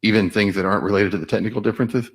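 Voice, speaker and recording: A man speaking at a technical meeting, giving measured, explanatory comments. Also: on the low side, fairly even intonation, slightly rough. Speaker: meeting participant at the microphone. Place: standards meeting room.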